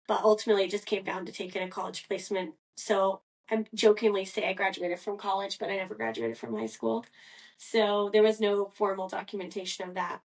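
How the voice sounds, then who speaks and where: A woman's voice: mid-range voice, recorded from a distance, poor audio. A woman from Scotland, co-hosting a podcast and telling her life story in a rambling, chatty way with lots of fillers. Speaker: podcast co-host. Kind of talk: casual personal storytelling.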